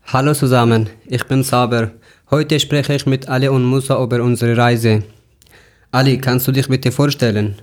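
A man's voice: mid-range voice, steady tone, moderate pace. A young man from Afghanistan hosting a podcast interview, talking hesitantly with many fillers and restarts. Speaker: podcast host.

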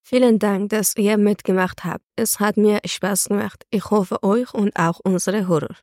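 A woman's voice: mid-range voice, fairly even intonation, moderate pace, darkish tone, dry recording, studio quality. A woman hosting a podcast with prepared talk. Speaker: podcast host.

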